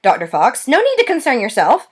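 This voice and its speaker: A woman's voice: high-pitched, huge pitch swings, fairly quick, husky, voice pushed up, heavily acted. Woman reading as a prim, haughty receptionist. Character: stern thin-lipped woman dental receptionist.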